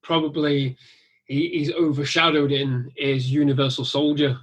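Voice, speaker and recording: Man, slightly high, husky, casual and profane. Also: little pitch movement, moderate pace. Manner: casual, swears freely. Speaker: podcast guest. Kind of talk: casual film chat.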